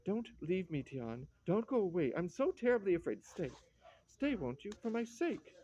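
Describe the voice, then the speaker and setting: An actress playing a frightened, pleading young wife in a classic stage tragedy, emotional and earnest. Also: female voice, low-pitched, normal speed, mellow, rough recording.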